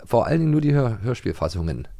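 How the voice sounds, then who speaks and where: A man's voice: mid-range voice, sing-song, fast talker, gravelly, warm tone, slight background noise. A man co-hosting a podcast, chatting casually about audio dramas. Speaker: podcast co-host.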